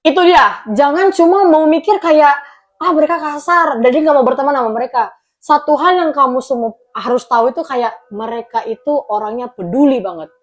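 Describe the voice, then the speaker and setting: A young woman with a high voice hosts a relaxed chat, teasing her guest and laughing easily. She talks straight to her viewers in a chatty, playful way. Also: brisk. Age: young adult. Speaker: host. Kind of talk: casual chat.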